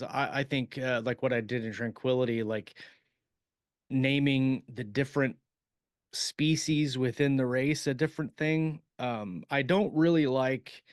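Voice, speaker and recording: Man talking writing craft, halting and thoughtful. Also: mid-range voice, clear, resonant tone, dry recording, clean studio sound. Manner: halting, thinking aloud, searching for words. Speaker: podcast host and science fiction author. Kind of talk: casual discussion of writing craft.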